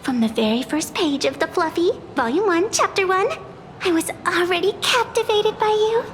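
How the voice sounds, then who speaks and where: A woman's voice: very high-pitched, moderate pace, faint music behind. A woman voicing a sweet-talking young fox fangirl in a video game, with a gushing, theatrical manner. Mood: gushing flattery.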